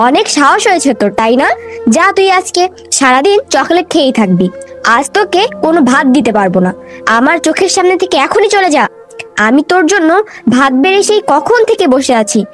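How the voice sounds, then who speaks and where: A high-pitched, strict village mother from a cartoon, voiced by a woman. She nags and scolds with exasperation, over faint music. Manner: scolding, nagging. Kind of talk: Bengali children's cartoon voice acting.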